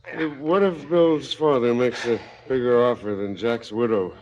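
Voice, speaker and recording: An actor playing a wary, evasive former professor gone crooked in a 1970s radio drama, speaking tersely. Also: male voice, mid-range voice, a little slow, a little mellow, slight background noise, lightly acted. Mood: scheming. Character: cagey, defensive male ex-professor turned crooked dealer. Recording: slightly dull 1970s radio recording.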